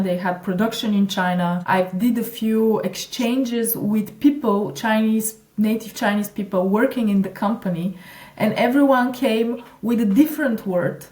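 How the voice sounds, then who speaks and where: A woman whose first language is Bulgarian explains her marketing work in a halting, thoughtful way, pausing often and restarting her phrases. Her voice sits at an ordinary pitch for a woman. The recording carries a noticeable room echo. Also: darkish tone. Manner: hesitant, many fillers and restarts. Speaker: digital marketing consultant, guest. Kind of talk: conversational interview. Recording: echoey room.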